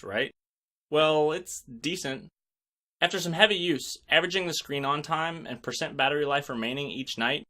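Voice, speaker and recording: A man's voice: medium pitch, sharp tone. A man hosting a scripted product review, narrating with wry, joking asides. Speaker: tech reviewer and host.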